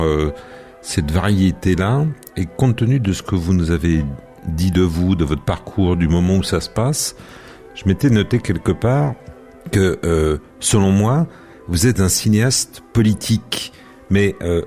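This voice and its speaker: Very deep-voiced formal radio host. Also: male voice, dark tone. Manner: formal, elaborate questions.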